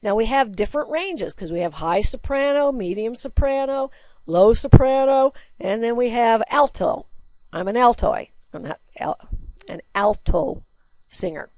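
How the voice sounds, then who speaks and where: A woman's voice: lively intonation, measured pace, darkish tone, dry recording. A woman teacher giving a recorded slide lecture, chatty and explaining with everyday examples and questions. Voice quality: low alto singing voice, by her own account.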